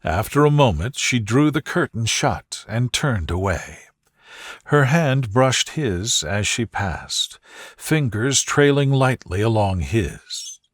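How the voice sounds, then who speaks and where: Male narrator for bedtime stories: calm, soothing, unhurried delivery, close dry recording. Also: mid-range voice, dry recording. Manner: calm, gentle, unhurried, soft-spoken.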